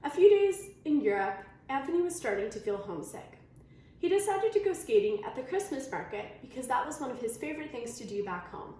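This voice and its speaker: A woman reading a children's story aloud in an expressive storytelling manner. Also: sits high, fairly quick. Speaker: storybook reader. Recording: very echoey room, faint noise.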